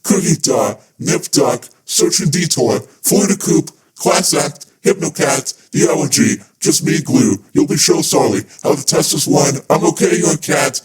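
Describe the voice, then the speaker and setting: A man with a fairly high voice presents a fan podcast. He delivers scripted narration as the host. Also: expressive, measured pace, hardly any pauses.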